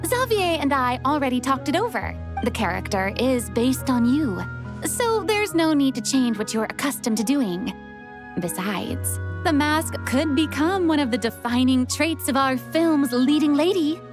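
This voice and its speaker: A voice actress playing an exuberant, theatrical young woman film director in an animated game, commanding and encouraging. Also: high voice, measured pace. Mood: pleased, encouraging. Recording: music behind the voice.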